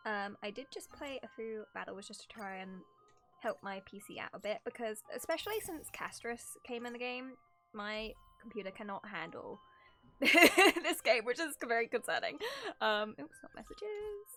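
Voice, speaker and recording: A woman's voice: fairly high pitch, lively intonation, normal speed, sharp tone, faint music behind. A bubbly, giggly woman chatting casually to viewers as she livestreams a video game. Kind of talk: casual livestream chat while starting a game.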